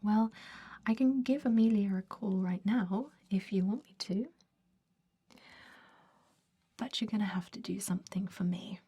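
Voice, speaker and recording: A woman voicing a scheming, chatty vampire recruiter in a whispered ASMR roleplay, with a soft breathy voice and a conspiratorial, casual manner. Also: medium pitch, normal speed, natural voice. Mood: bargaining. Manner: chatty, conspiratorial, hedging with likes and ums.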